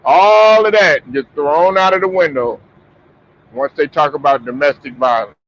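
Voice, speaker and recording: A man's voice: on the high side, normal speed. Stuffy-voiced man rambling on a lo-fi stream. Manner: rambling, repeats words, frequent restarts. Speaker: sports talk show host. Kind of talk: casual sports talk. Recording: muffled lo-fi stream audio with faint noise.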